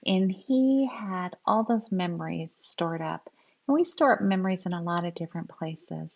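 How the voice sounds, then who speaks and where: A woman reading a picture book aloud to young children in an expressive storytelling style, with simple explanatory asides. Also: on the low side, normal speed, vocal fry, highs cut off.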